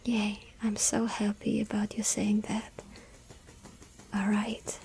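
A clingy, lovestruck young vampire girl whispers close and slow. Her tone is dark and mellow, and her pitch barely moves. Soft music plays behind her. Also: monotone, low-quality recording. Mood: tender whisper. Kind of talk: ASMR roleplay.

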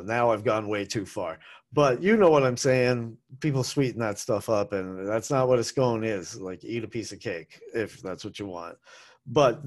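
Chatty middle-aged man, rambling food talk. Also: on the low side. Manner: rambling, chatty, with self-corrections and asides. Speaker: food vlogger. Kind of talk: casual food review monologue. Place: small home room with posters. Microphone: desk microphone on a boom arm, webcam framing.

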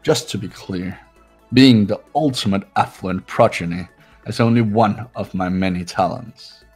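A man voicing an arrogant, condescending young heir in a visual novel game, speaking in a pompous, measured way. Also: lowish voice, a little mellow, slightly rough, faint music behind. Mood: pompous, self-satisfied.

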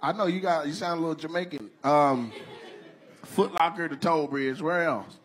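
A man doing stand-up crowd work on a club stage, roasting audience members in a loud, animated way. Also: a little high, moderate pace. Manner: loud, animated, breaks into shouted voices. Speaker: stand-up comedian. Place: comedy club stage, brick wall. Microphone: handheld stage mic on stand. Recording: live club crowd and noise.

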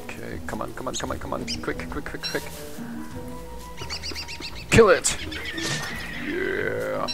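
A man's voice: mid-range voice, slow pace, gravelly, long pauses. A young man with a German accent, a non-native English speaker, casually commentating his gameplay, rambling, swearing and laughing at himself. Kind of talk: casual let's play commentary. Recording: game music behind the voice.

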